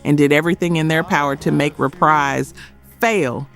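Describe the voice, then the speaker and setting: A man delivering scripted documentary narration in emphatic short phrases with dramatic pauses. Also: fairly high pitch. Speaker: narrator of a music history video. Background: background music.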